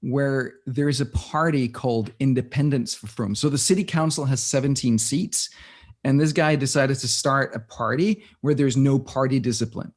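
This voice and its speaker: A man's voice: mid-range voice, moderate pace. Man thinking aloud. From Denmark. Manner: thinks aloud, with frequent restarts and searching for words. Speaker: guest. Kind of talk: casual conversational interview.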